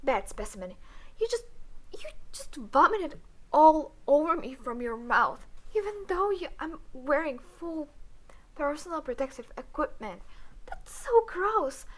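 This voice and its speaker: A woman playing a cool, clinical female alien doctor who teases her human captive in a roleplay audio drama, speaking in a deadpan, halting way. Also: high-pitched, poor audio. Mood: indignant.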